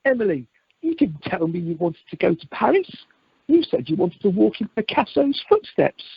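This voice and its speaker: A woman's voice: medium pitch, big pitch swings, moderate pace, vocal fry, husky, no echo, exaggerated voice. A woman playing a hapless, literal-minded newlywed husband in amateur radio comedy. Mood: dismayed. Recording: muffled, dry telephone conference line.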